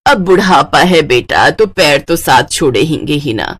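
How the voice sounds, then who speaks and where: A woman's voice: sits low, expressive, unhurried, fairly bright tone, breathy edge, voice pushed down, heavily acted. Woman voicing a scheming, grumbling middle-aged stepmother. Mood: whining, wheedling. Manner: wheedling, complaining. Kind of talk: Hindi cartoon moral story acting.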